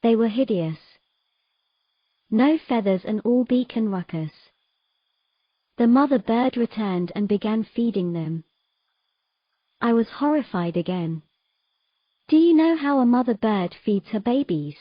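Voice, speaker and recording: A woman playing a sorrowful young mermaid in an audio drama, telling of her past in a gentle, measured, halting way. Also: fairly high pitch, lively intonation, no room sound, highs cut off. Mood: wistful.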